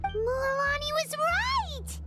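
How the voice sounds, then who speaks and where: A woman voicing a small, childlike fairy companion in a video game, excitable and timid, with a squeaky voice. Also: takes it slowly, loud music behind the voice, heavily acted. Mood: bright, surprised. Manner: refers to self in third person, exclamatory.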